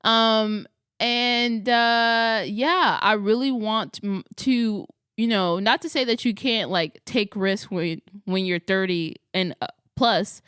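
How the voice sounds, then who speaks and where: Chatty young woman with a smooth voice. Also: a little high, a bit bright, no room sound, clean studio sound. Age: 20s. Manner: rambling, chatty, self-correcting with frequent laughs. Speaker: astrology vlogger. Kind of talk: casual chat to camera.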